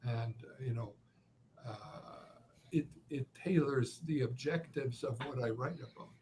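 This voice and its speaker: Guest: measured man, faint Ukrainian accent, mid-pitched voice, a little noise behind. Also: a little mellow. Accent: slight Ukrainian. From Canada. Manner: measured, deliberate, with frequent "uh" pauses. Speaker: guest, author and journalist. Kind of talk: reflective interview answers.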